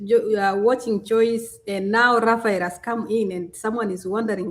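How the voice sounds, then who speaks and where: A woman, hosting a live stream in a chatty way, with many fillers and restarts. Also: a little high. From Kenya.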